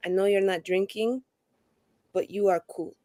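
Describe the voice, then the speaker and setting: A woman's voice: medium pitch, fairly even intonation, normal speed, dark tone, no room sound, clean studio sound, voice pushed down, close to an everyday voice. A woman voicing a tipsy, sentimental young woman in a tabletop game, effusive and earnest, with a wobbly, whiny voice. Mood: solemnly tipsy.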